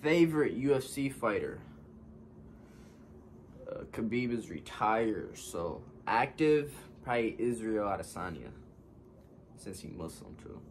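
A man's voice: lowish voice, very animated, a little slow, long pauses, a little hiss or hum. A man in his twenties reading fan questions aloud and answering them offhand in a casual home vlog from his couch. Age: 20s. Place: living room, seated on a couch.

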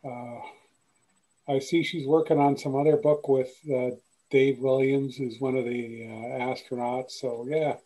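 Older man, mellow halting voice. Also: mid-range voice, flat delivery, poor audio. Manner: halting, repeats words. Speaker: astronomy club member. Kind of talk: casual video call chat. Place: home room with bookshelves.

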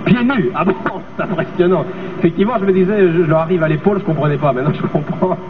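A man's voice: high-pitched, far from the mic. A middle-aged man hosting a TV variety show, interviewing a guest with a handheld mic on a studio stage and echoing back her answers. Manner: brisk, repeats the guest's answers. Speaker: TV host. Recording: tinny, noisy old TV broadcast, off-mic.

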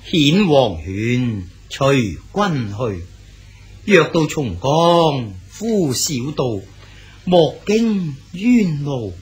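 A genial old-time scholar official. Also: male voice, mid-range voice, slightly rough, exaggerated voice. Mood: recitative. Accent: Cantonese. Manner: measured, declaims poetry slowly. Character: Song dynasty poet official, genial and cultured. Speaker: Su Dongpo character in a radio drama. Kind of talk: 1980s style Cantonese radio drama acting.

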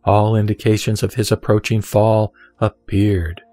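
A man reading the words of the Buddha as a calm, wise teacher, in a measured and solemn scripted recitation. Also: fairly low pitch, dry recording, close to an everyday voice.

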